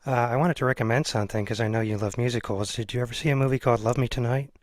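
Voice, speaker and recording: A man's voice: mid-range voice, fairly even intonation, rapid-fire, no room sound, studio quality. A man conducting a casual interview in an agreeable, attentive way. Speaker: interviewer, host.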